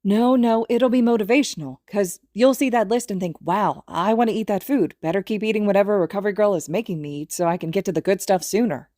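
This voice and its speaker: A woman voicing a cheerful, earnest teenage boy in an audiobook, with an eager and expressive delivery. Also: medium pitch, fast talker, few pauses, no room sound, clean studio sound, voice pushed up, close to an everyday voice. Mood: eager, lecturing.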